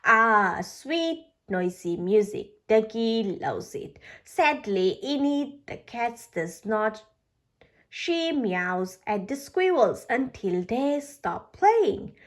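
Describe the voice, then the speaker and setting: A woman reading a children's storybook aloud for toddlers, with a halting delivery and the odd slip. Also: a little high, very animated. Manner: halting reading with slips and pauses. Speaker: children's book reader on a video channel.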